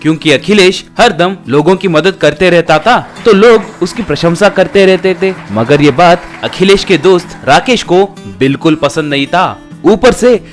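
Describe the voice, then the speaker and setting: A man's voice: high voice, lively intonation, moderate pace, faint music behind. A man reading a scripted moral tale as a storytelling narrator.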